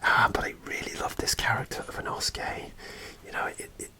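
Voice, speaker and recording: Close, soft-whispering man. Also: brisk, poor audio. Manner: soft rambling whisper with frequent hesitations. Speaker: ASMR creator. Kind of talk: whispered ASMR storytelling.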